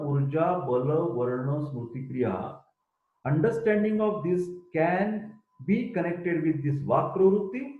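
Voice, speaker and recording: An older man with an Indian accent, a professor giving an emphatic lecture and reciting Sanskrit verses. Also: fairly high pitch. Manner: emphatic, repeats key terms, chants Sanskrit verses. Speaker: Ayurveda professor. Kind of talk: recorded lecture. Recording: echoey room, tinny phone-like sound.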